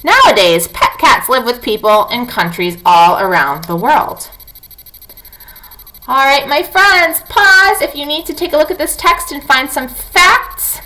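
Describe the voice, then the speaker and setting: A woman's voice: sits high, lively intonation, unhurried, pushed and bright, low-quality recording. A woman teacher reading a non-fiction book aloud to young children, talking to them directly with questions and prompts.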